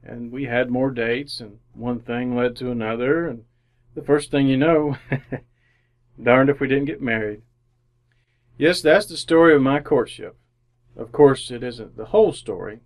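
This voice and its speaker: A man's voice: mid-range voice, measured pace, close to an everyday voice. Guy acting as shy folksy young married fella, hems and haws, old radio play style. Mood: wry reminiscing. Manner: hesitant, stammering, folksy. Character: bashful, hesitant young married man telling his courtship. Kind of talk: old-time radio drama acting with narration.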